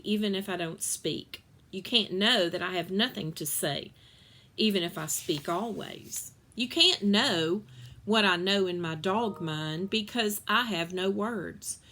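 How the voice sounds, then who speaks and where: Storybook dad, solemn and earnest, deliberate poem recitation performed by a woman. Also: medium pitch, pushed and bright, slight background noise. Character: father reading a dog's earnest poem.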